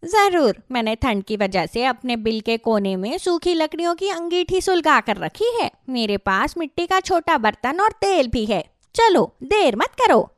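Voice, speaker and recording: A woman voicing a kind, helpful female rabbit in a children's animal tale, gentle, encouraging and expressive. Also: sits high, measured pace, few pauses, no room sound, clean studio sound. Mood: welcoming. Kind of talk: children's cartoon storytelling.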